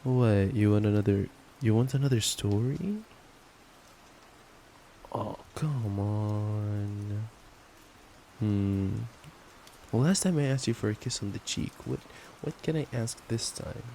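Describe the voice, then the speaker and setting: A man's voice: lowish voice, takes it slowly, pauses often, dark tone. A young man doing an affectionate, playful ASMR boyfriend roleplay, reading a bedtime story with stumbles and giggly asides. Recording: noisy track with ambient rain sounds. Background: rain ambience.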